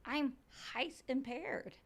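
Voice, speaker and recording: A woman co-hosting a podcast in casual chat, playful and teasing, chiming in with quick agreement. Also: high-pitched, a bit bright. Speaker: podcast co-host, former therapist.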